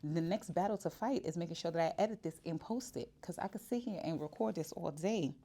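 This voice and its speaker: A woman's voice: on the low side, brisk, mellow. A woman, talking candidly and casually to the camera in her shop, with many fillers and restarts. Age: 40s. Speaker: vlogger. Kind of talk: casual personal vlog.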